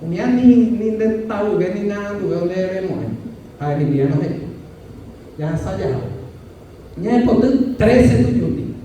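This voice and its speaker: A man with a fairly high, warm voice presents his thesis in a halting, earnest way. He is picked up from a distance in a very echoey room, with a faint hiss underneath. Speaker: student defending his thesis. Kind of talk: formal academic presentation. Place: university lecture room.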